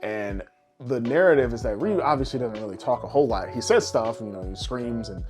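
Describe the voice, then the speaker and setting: Man, medium-pitched voice, casual podcast co-host, rambling animated explanations, loose swearing, music in the background. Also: fairly quick. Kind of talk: casual podcast chat about games and films.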